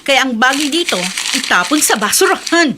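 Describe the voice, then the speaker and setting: A woman's voice: high voice, animated, a little fast, very noisy. A woman playing a snippy, impatient office secretary in a radio drama, curt and scornful. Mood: irritated, scornful. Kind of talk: Tagalog radio drama acting.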